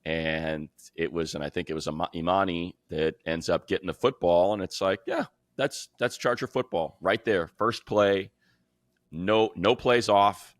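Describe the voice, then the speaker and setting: Low voiced guy on a football podcast, casual breakdown, real dry close sound. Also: measured pace, no room sound. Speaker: sports podcast co-host and football analyst. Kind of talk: casual sports talk.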